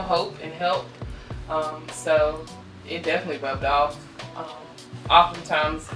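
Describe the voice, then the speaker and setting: Low-voiced woman in her thirties. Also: roomy sound, far from the mic, poor audio. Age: 30s. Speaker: guest. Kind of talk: casual interview chat.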